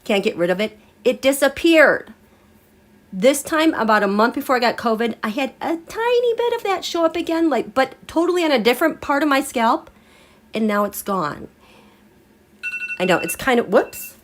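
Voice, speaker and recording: A woman's voice: sits high, moderate pace. Chatty congested woman hosting a livestream. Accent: American. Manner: chatty, rambling, animated asides. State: congested, recovering from illness. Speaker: tarot and astrology livestream host. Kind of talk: casual live-stream chat.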